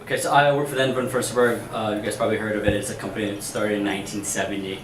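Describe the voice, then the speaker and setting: A man's voice: lowish voice, level tone, rapid-fire. A man from Brazil with a Brazilian Portuguese accent, speaking casually with fillers as a panelist. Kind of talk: panel discussion. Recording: echoey room, distant mic, faint noise.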